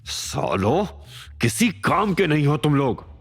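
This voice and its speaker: A man voicing an angry, easily rattled gangster villain in an audio drama, irritable and quick to swear. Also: a little high, sing-song, breathy edge, faint music behind, pitched above the performer's own voice, exaggerated voice. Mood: angry, irritated. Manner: irritable, swearing, stammers when scared.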